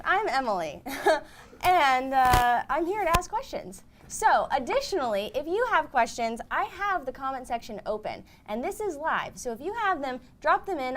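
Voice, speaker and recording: A woman's voice: high-pitched, fairly bright tone. A woman producer asking a guest questions on a live show, bubbly and giggly.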